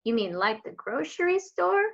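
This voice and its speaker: A woman's voice: high voice, sing-song, normal speed, vocal fry, voice pushed up, heavily acted. Woman voicing a kindly, folksy old lady. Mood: folksy, welcoming. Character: kindly old Southern woman with poor eyesight, warm and folksy. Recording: dull lo-fi home recording.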